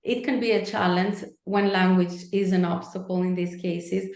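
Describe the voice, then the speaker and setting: A woman gives calm, encouraging advice as a guest therapist. Her voice carries a gravelly edge, and she sounds recorded in an echoey room. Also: fairly low pitch, normal speed. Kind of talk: advice-giving interview talk.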